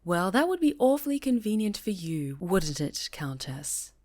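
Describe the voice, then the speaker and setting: A woman playing a proud, guarded high enchantress in an ASMR roleplay, speaking in a measured, courteous, formal way. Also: very animated, a little mellow. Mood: suspicious, curt.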